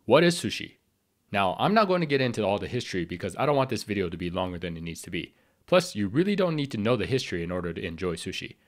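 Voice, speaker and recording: A man with a slightly raspy voice from a cold, explaining sushi basics as the host of a scripted cooking tutorial. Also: fairly low pitch. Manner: clear, explanatory, friendly instruction. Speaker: sushi chef and channel host.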